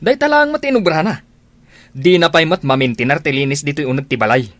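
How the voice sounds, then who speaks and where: A man's voice: on the high side, fast talker, fairly bright tone, no echo, close to an everyday voice. A man acting a domineering, suspicious husband in a radio drama, contemptuous and boastful. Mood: critical, nagging.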